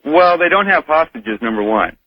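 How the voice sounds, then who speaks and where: A man's voice: on the high side, very animated, moderate pace. A man calling in to a radio talk show, casually reasoning aloud with some hesitation. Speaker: radio call-in guest. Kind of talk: casual phone-in discussion. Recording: tinny phone line on radio.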